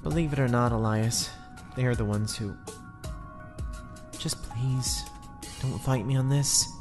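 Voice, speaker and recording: Low-voiced weary male administrator, acted by a woman. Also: music in the background. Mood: pleading. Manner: halting, earnest. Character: weary male ship administrator, conflicted, apologetic bureaucrat. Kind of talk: sci-fi audio drama acting.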